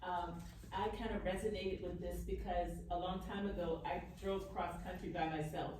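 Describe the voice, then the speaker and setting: A woman talks from an audience in a casual, personal, self-correcting way. Her voice is slightly low and comes from a distance through a heavily echoing hall with faint noise. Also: steady tone, normal speed. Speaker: audience member.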